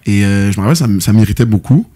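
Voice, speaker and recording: A young man with a Québécois accent talks fast on a podcast, piling up fillers and slang as he tells his stories. His voice sits fairly low and sounds mellow and relaxed. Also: husky. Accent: Québécois French. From Quebec, Canada. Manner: quick, loose storytelling with many fillers and slang. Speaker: guest, football player. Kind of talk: casual podcast chat.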